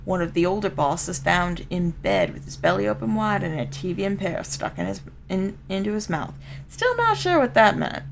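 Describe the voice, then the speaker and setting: A woman's voice: mid-range voice, big pitch swings, moderate pace, gravelly, slight background noise, poor audio. A woman voicing a folksy, streetwise street-gang member telling an old story, with a Southern US accent. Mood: streetwise, wry. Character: rough street-gang storyteller, plain-spoken old comrade of a boss. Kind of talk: dramatic first-person story reading.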